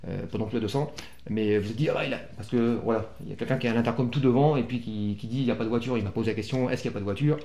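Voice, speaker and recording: A man's voice: mid-range voice, rapid-fire, mellow, slight background noise. A man hosting a casual motorcycle gear unboxing vlog, rambling along with bossy, teasing and coarse jokes. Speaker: vlog host. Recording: echoey room with music behind.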